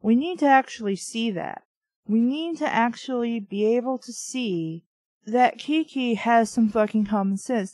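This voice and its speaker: A woman's voice: a little high, a little mellow, dry recording. A woman giving casual, unscripted critical commentary as a book reviewer, blunt, opinionated and prone to swearing.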